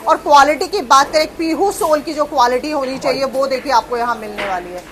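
A woman's voice: sits high, brisk, noisy background. A woman vlogger touring a footwear factory, reacting with admiring exclamations and pointing out products to viewers. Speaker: vlogger host. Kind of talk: market vlog walkthrough.

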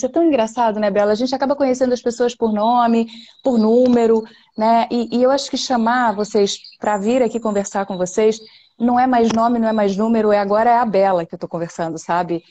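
A middle-aged woman hosts a casual livestream from her living room, greeting viewers one by one. Her voice is dark and mellow, and she sounds effusive and affectionate. A faint noise hums behind her. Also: a little high, a little fast. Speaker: host, voice teacher.

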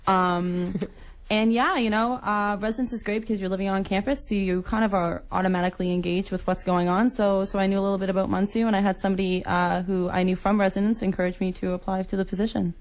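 A woman's voice: mid-range voice, steady tone, fast, rough recording. A woman in her twenties from Nova Scotia, an enthusiastic guest chatting casually in an interview. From Nova Scotia, Canada. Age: 20s.

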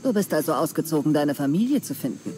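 A woman voicing a commanding, menacing pirate queen in a video game, speaking deliberately and with threat. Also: sits low, big pitch swings, warm tone, a little hiss or hum. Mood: measured, curious. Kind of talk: video game cutscene dialogue. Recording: music behind the voice.

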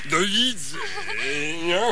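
An actor playing a sly, hungry big bad wolf in a children's radio play, wheedling and theatrical. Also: male voice, sits high, wildly swooping pitch, drawn-out words, over a noisy crowd, heavily acted.

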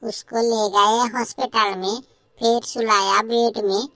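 A woman's voice: a little high, little pitch movement, a little slow, sharp tone, no room sound. A woman voicing a confident young schoolgirl, polite but cheeky, in a comedy cartoon.

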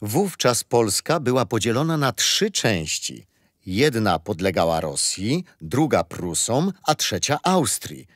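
Kindly grandpa, lively intonation. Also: male voice, medium pitch, takes it slowly, no room sound, studio quality. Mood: patient, fond. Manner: gentle, didactic. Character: kindly patient grandfather telling children a bedtime history story. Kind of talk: children's radio play storytelling.

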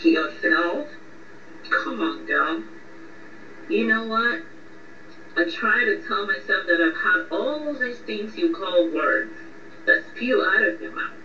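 Actress doing blunt sarcastic young woman in a radio drama, teasing her friend, slow pace, echoey muffled sound. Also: medium pitch, expressive, sharp tone. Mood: exasperated. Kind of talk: radio drama phone conversation. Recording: muffled, echoey, distant, faint noise.

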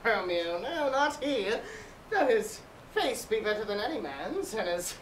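A high-pitched, very animated old nurse played by a woman in a Shakespeare play, fussy and bawdy. She rambles and exclaims with theatrical groans, heard in an echoey room from a distance. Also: a little slow. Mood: teasing. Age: older. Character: bawdy, fussy old nurse, weary and teasing, fond of her charge. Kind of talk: Shakespearean stage acting. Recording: echoey room, distant mic, faint noise.

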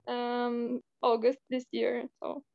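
A young woman introducing herself on a video call, her voice high and even with a gravelly fry. The sound is dry and a little muffled. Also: takes it slowly, no echo. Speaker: former volunteer.

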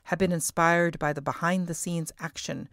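Middle-aged woman, podcast host, scripted read, frequent vocal fry, dry close sound, careful enunciation. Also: lowish voice, fairly even intonation, moderate pace, fairly bright tone, no echo.

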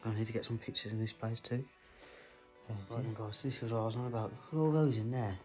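A man filming himself with a phone as he explores an abandoned house indoors, talking low and hurried to his viewers. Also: a little mellow. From England. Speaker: urban explorer filming a walkthrough. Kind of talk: casual handheld vlog commentary. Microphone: handheld phone. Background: background music.

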